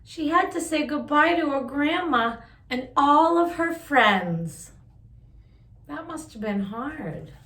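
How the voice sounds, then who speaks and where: A woman reading a picture book aloud to young listeners, with expressive drawn-out emphasis and chatty asides. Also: sits high, reverberant. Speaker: children's story-time host reading a picture book aloud.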